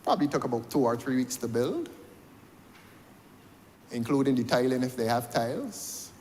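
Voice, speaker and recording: A man's voice: medium pitch, expressive, normal speed, darkish tone, big-room sound, slight background noise. A Barbadian man giving a parliamentary debate speech, rhetorical, repeating key phrases for emphasis. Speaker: member of parliament. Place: parliament chamber.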